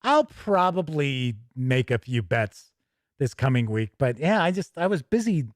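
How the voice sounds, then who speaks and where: A man hosting a tabletop gaming livestream, chatting casually with viewers in a folksy, jokey, animated way. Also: medium pitch, a little slow, no room sound, studio quality.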